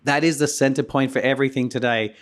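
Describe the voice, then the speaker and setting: Hoarse male conference host, mid-pitched, rambling keynote with restarts and coughs, voice going. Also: fairly even intonation, quick pace. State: hoarse, losing his voice. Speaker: conference host and company founder. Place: conference venue.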